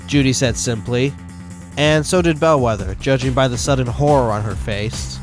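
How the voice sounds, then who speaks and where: A man's voice: mid-range voice, moderate pace. Man narrating a story over music. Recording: music behind the voice.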